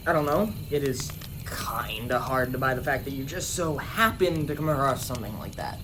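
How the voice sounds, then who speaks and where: A woman's voice: very deep voice, animated, moderate pace. A woman playing a grumpy, stubborn young guy in an amateur radio play, blunt and dismissive. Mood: wary. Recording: clear background ambient noise.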